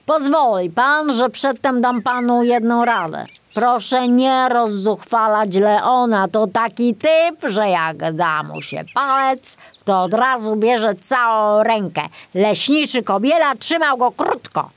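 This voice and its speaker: A woman's voice: fairly high pitch, lively intonation, slow pace, hardly any pauses, dry recording, voice pushed up. A woman voicing a small male forest sprite in a storybook, formal, polite and touchy, given to admonishing. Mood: polite, advising.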